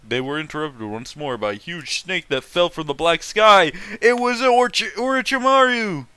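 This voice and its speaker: A man's voice: high-pitched, lively intonation, normal speed, sharp tone, clean tone. A man casually reading fan fiction aloud and mocking it with sarcastic, sweary, amused commentary.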